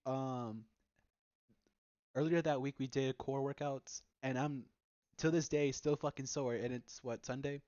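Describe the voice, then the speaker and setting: A young man telling stories in a casual, sweary chat with friends, halting and defensive as he banters. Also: medium pitch, steady tone, a little mellow, no room sound, dull audio. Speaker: podcast host.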